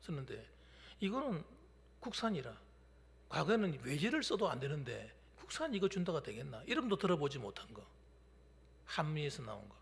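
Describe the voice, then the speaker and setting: A male pastor in his fifties preaching a midweek sermon, shifting between loud declarations and hushed whispers. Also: fairly high pitch, big pitch swings, moderate pace, vocal fry. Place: church sanctuary during a midweek service. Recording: long hall reverb from a church.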